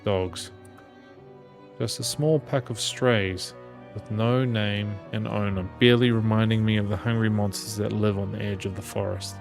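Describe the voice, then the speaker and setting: A man with a lowish, gravelly voice narrates a visual novel aloud. His intonation stays fairly flat, and he trips on the odd word as music plays softly behind. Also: moderate pace. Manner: reads aloud with small stumbles. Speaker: gaming channel host reading the game aloud. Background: game music.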